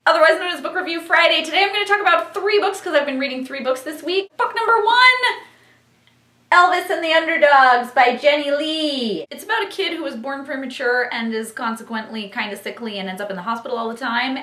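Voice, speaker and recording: A woman presents book reviews to her vlog viewers with a bright, forward voice. Her delivery is theatrical and exuberant, swinging widely in pitch. The room adds an audible echo around her words. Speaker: book-review vlogger and host. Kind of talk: vlog book review with passages read aloud.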